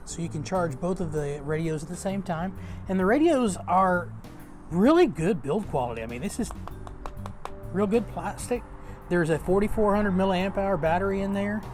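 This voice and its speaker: A man in his thirties giving a casual, informal review to camera as host, with a halting delivery. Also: high-pitched, a little mellow. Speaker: product reviewer, channel host.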